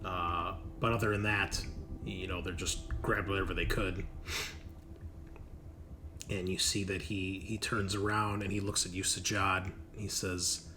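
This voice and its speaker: A man improvising narration as game master of a tabletop game, often halting to search for words. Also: deep voice, little pitch movement, bright, forceful tone. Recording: noisy room recording.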